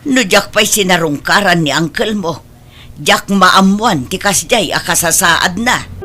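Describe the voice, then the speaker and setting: A woman's voice: fairly low pitch, expressive, measured pace, sharp tone, faint music behind, full character voice. A woman playing a concerned, meddling middle-aged aunt in an Ilocano audio drama, fretful and chiding, with an Ilocano accent.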